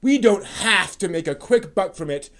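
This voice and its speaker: Man acting indignant older fan, self-righteous, raised pitch, preachy declamation, drawn-out emphasis, dramatic reading. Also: expressive, fairly bright tone.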